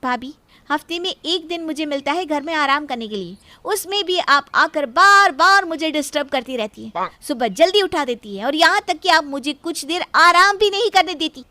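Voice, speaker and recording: A woman's voice: sits high, lively intonation, moderate pace, sharp tone, no echo, voice pushed up. A woman voicing a rude, impatient young sister-in-law in a moral story, scolding her elder. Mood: irritated. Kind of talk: Hindi moral story character dialogue.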